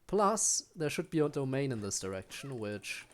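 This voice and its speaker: A man's voice: medium pitch, big pitch swings, normal speed. A young man with a German accent, a native German speaker, casually chatting with his chat while streaming a game, with frequent ums and restarts.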